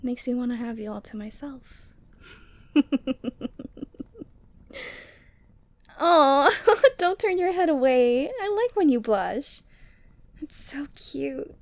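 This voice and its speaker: A woman voicing an affectionate, teasing but lonely yeti girl in an ASMR roleplay, tender and coaxing with breathy sighs. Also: sits high, expressive, slow, a little mellow, dry recording, full character voice. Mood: playful, teasing. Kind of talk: ASMR roleplay audio drama.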